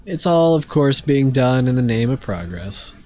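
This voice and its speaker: A man's voice: mid-range voice, moderate pace. A young man chatting casually to viewers while playing a game, a bit stuffy from allergies, rambling with lots of fillers. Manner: relaxed, rambling, many fillers. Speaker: streamer. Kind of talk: casual live-stream chat while gaming.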